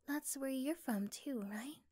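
A woman playing a caring, curious young girlfriend in a soft-spoken, tender ASMR roleplay, breathy at times. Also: high voice, measured pace. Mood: curious, gentle.

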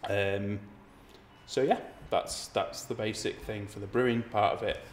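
A man around forty speaks in a very low voice with little movement in pitch. He explains his work as a brewer to a documentary interviewer. The room gives his voice clear echo. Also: fairly even intonation, measured pace, slight background noise. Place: brick-walled room indoors. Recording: roomy echo, music underneath.

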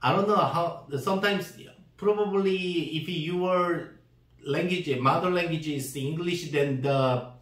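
Slow, halting Korean-accented man, echoey room. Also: on the high side, fairly bright tone. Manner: halting, searching for words, many fillers and restarts. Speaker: shoe seller and customizer. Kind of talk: casual product demo. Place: indoor room, green-screen backdrop. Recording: roomy echo, distant mic.

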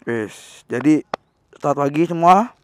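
A young man chatting excitedly in a casual riding vlog, recorded on a helmet camera while on a motorbike in the city. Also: fairly high pitch, unhurried. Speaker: motovlogger. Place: on a motorbike on city streets, outdoors. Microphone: helmet-mounted action camera. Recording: loud wind and traffic noise.

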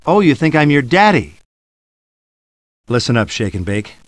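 An actor playing a cocky, sarcastic action hero in a video game cutscene, brash and swaggering. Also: male voice, mid-range voice, big pitch swings, normal speed, no room sound, studio quality, close to an everyday voice. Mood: taunting. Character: cocky, wisecracking one-handed male action hero.

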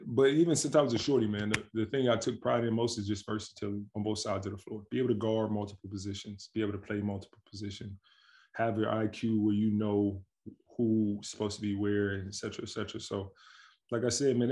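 Guest: man from Milwaukee, thirties, gravelly low-pitched voice, slangy and animated, on a video call from home. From Milwaukee, Wisconsin, USA. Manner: relaxed, slangy, animated storytelling with frequent laughs. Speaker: guest, pro basketball player. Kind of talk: casual interview chat. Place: home with staircase behind, indoors. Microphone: video call webcam.

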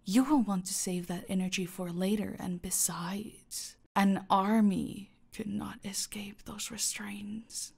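A woman playing a gloating, menacing female cartel boss in an audio roleplay, commanding and taunting, often dropping to a breathy murmur. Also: medium pitch, fairly even intonation, slow pace, few pauses, a little mellow, heavily acted. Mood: mocking, gloating.

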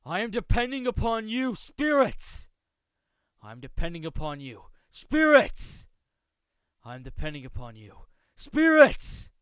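A man voicing a polite, aloof young swordsman from a manga, with formal, measured, precise speech. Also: high voice, huge pitch swings, a bit bright, smooth, no room sound, heavily acted. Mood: fervent, commanding. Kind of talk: amateur voice acting showcase of manga lines.

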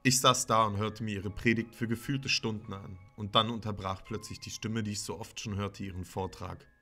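A man's voice: fairly low pitch, fairly even intonation, moderate pace, pushed and bright. A man playing a sullen, troubled teenage son, bitterly narrating his own horror story in a dramatic way. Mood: defiant, tense. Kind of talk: scripted first-person horror narration. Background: music.

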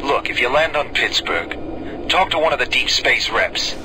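A man playing a steady, commanding space officer. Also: close to an everyday voice. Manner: brisk and matter-of-fact. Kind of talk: video game voice acting. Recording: game music and engine rumble behind.

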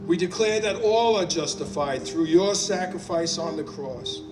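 An older man with a New Jersey accent prays slowly and earnestly at an outdoor podium. His somewhat high voice carries through an echoey PA and is picked up from a distance, with music playing underneath. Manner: slow, earnest declaring. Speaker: prayer leader at a public gathering. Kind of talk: public prayer. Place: outdoors on city hall steps. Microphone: podium mic on a stand.

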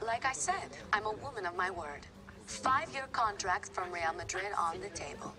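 A woman playing a confident, businesslike football agent, speaking in a measured, formal way in a game cutscene. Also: medium pitch, expressive, crowd noise, lightly acted. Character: assured, businesslike female football agent on a call. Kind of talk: naturalistic game cutscene acting.

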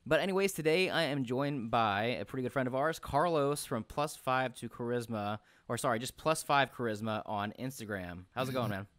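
A young man hosting a podcast over a video call, talking quickly with many fillers into a headset and studio mic in a small room at home. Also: mid-range voice, a bit bright, no echo. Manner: fast, rambling, many fillers. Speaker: podcast host. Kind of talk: casual video call chat. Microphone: headset with large studio mic.

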